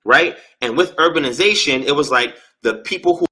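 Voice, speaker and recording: A man's voice: on the high side, a little fast, sharp tone, breathy edge. A man guest in an interview, explaining at length in an earnest, lecture-like way and drawing out key words for emphasis. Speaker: interview guest, religious teacher. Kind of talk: explanatory lecture-style talk.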